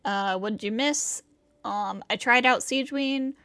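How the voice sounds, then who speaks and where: A woman livestreamer chatting casually and a bit haltingly while playing a game. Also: a little high, pushed and bright, clean tone, no echo.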